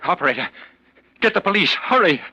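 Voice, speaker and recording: A man's voice: high voice, big pitch swings, brisk, sharp tone, breathy edge, heavily acted. An actor playing a panicky, agitated male professor in 1940s radio drama style. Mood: panicked. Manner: agitated, stammering. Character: panicky married male professor, jittery and guilty-seeming. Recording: very noisy, crackly 1940s radio recording.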